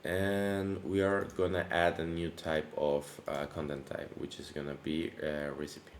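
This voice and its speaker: A man hosting a casual coding tutorial, his voice deepened by allergies, talking in a relaxed, chatty way with many fillers and restarts. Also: unhurried. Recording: echoey room with faint background noise.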